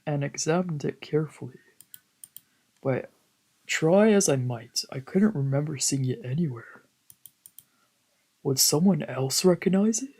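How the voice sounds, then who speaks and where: A woman's voice: deep voice, warm tone. A woman VTuber streamer reading visual novel narration aloud in a dramatic storytelling style.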